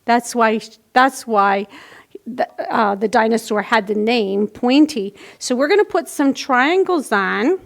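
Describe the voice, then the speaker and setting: A woman's voice: a little high, measured pace, vocal fry. A woman presenting a library storytime, casually explaining a children's craft in simple steps.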